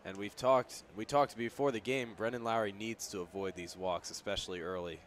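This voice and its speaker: A man providing conversational color commentary on a radio baseball broadcast, explaining things in a measured way. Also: lowish voice, steady tone. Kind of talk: conversational sports analysis on radio. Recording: faint outdoor ambience and traffic-like noise.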